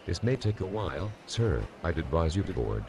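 Man acting a very deep-voiced stern security guard. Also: sing-song, moderate pace, noisy background. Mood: cool, official. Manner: official, coolly courteous. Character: stern, formally polite male airport security guard. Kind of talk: audio drama acting.

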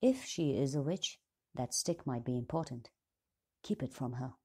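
A cool, commanding young queen in a storybook, speaking low and measured as a woman narrator voices her. Her dark tone has a gravelly, husky grain. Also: expressive, full character voice.

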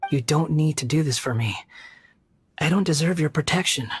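A man's voice: fairly high pitch, moderate pace, slight background noise, natural voice. Man as a brooding, somber young wanderer. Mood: resigned. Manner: somber, restrained, sighing delivery. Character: brooding youthful male puppet wanderer, guilt-ridden and aloof. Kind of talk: video game voice acting.

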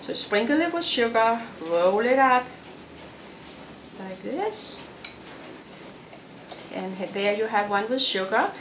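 A middle-aged woman with a Danish accent, giving a casual, unhurried cooking demonstration step by step. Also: on the high side, very animated, muffled recording. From Denmark. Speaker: home cook and cooking show host. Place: home kitchen, indoors. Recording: echoey room, loud noise, kitchen clatter.

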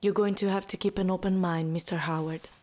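An actress playing a composed, matter-of-fact doctor in an audio drama, explaining in a precise way. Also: female voice, on the low side, fairly even intonation, brisk, a little mellow, close to an everyday voice. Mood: composed, explanatory. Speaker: doctor, genetics specialist.

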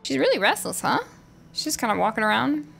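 A woman streaming a game with casual, playful, animated commentary, exclaiming and teasing as she goes. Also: a little high, fast, creaky. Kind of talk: casual live gameplay commentary. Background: game music.

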